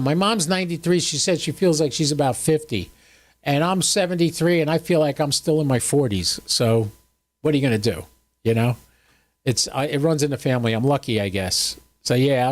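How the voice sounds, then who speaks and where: A man's voice: fairly high pitch, normal speed. A man in his seventies hosting a livestream, chatting casually with viewers in a folksy, joking, rambling way. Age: 70s. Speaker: host of a ham radio livestream.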